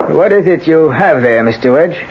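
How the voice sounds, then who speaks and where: Judge, radio drama: high male voice, deliberate and formal, muffled broadcast recording. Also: lively intonation, noisy background. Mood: inquiring. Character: formal, measured male judge presiding in court. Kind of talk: 1970s-80s radio drama acting. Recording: dull old radio broadcast.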